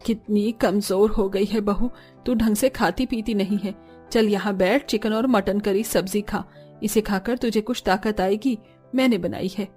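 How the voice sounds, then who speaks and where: Woman doing low-voiced bossy cartoon mother-in-law. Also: fairly even intonation, unhurried, darkish tone, husky, soft background music. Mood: fussing. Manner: demanding, coaxing. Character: middle-aged mother-in-law, bossy, fussing family matriarch.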